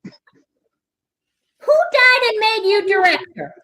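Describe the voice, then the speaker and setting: A woman's voice: extremely high pitch, sing-song, drawn-out words, pushed and bright, exaggerated voice. A woman playing a cranky, sarcastic, hard-of-hearing woman who snaps at others in a comic staged reading. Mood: exasperated. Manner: snappish, exasperated.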